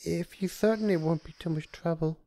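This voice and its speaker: A man voicing a gentle, apologetic young female pony in a storybook reading, hesitant, stammering and soft-spoken. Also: fairly high pitch, a little fast, no echo, voice pushed up, lightly acted. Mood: timid, apologetic. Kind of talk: storybook character voice.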